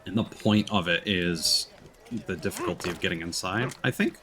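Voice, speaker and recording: A man's voice: deep voice, lively intonation, normal speed, noisy background. A man streaming a video game with casual, wry, understated commentary over game music. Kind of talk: casual let's play commentary.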